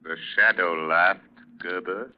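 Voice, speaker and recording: An actor playing a sinister, taunting invisible avenger in old radio drama style, making slow, ominous pronouncements. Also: male voice, low-pitched, fairly even intonation. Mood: menacing, taunting. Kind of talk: 1930s radio drama acting. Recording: dull, noisy old radio recording.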